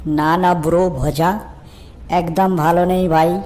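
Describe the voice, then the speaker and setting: A woman's voice: fairly low pitch, fairly even intonation, slow, a little mellow. A woman voicing a chummy, mischievous schoolboy in a comic cartoon, teasing and exaggerated, with a West Bengal Bengali accent. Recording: clearly noisy track.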